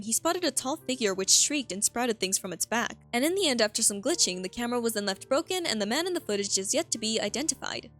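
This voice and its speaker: A woman with a fairly high, very animated voice narrates as a video host. Her delivery is scripted but conversational, dotted with casual asides and questions to the viewer. Music plays softly behind her. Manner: conversational, interjecting own reactions and rhetorical questions. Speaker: video host analysing a horror web series. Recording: voice-over with background music. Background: background music.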